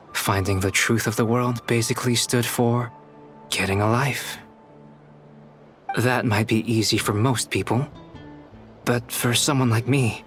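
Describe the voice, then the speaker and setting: A man playing a calm, soft-spoken young scholar, an artificial human in a video game, speaking formally and reflectively. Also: lowish voice. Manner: measured, reflective, formal diction. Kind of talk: scripted video game dialogue. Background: background music.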